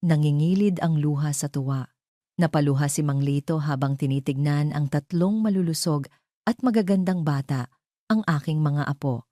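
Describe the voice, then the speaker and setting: A woman's voice: sits low, moderate pace, warm tone, no room sound, clean studio sound. A woman narrating a scripted romantic drama story as a storyteller.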